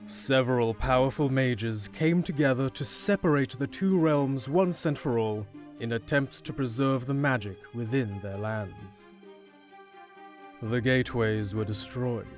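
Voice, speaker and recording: A man narrating a scripted fantasy audio drama in a measured, dramatic storyteller manner. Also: medium pitch, a little mellow. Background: music.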